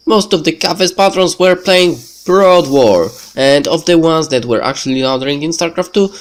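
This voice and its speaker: A man who is a bit sick hosts a let's play, reading a visual novel script aloud in a halting, stumbling way. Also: medium pitch. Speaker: let's play video host. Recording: music behind the voice. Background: background game music.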